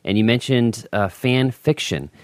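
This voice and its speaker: A man's voice: mid-range voice, lively intonation, measured pace, no echo. A man hosting a podcast and interviewing guests in a conversational way.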